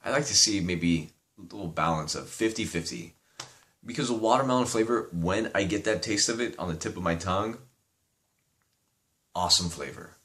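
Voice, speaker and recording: A man's voice: deep voice, expressive, moderate pace, a bit bright. A young man with a slight Persian accent, rambling casually through a product review and swearing now and then. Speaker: vape reviewer.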